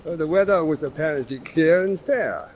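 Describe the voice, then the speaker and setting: A severe British naval official played by a male actor in a radio play. The voice is a little high, formal and clipped, issuing orders over faint ambience. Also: moderate pace, slight background noise, natural voice. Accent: British English. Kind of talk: radio drama acting.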